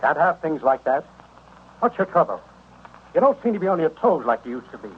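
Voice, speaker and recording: A stern office boss in a radio playlet. His highish, husky voice moves sharply up and down, clipped and brusque. The old 1930s recording sounds dull. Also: big pitch swings, fairly quick. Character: curt, stern company manager firing an employee. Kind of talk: 1930s radio advertisement drama.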